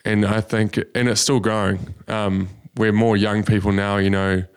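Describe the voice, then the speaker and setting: A young man, a business founder telling his story in a relaxed way, with many ums. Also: lowish voice, normal speed, clear, resonant tone. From New Zealand. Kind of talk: casual interview. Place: studio.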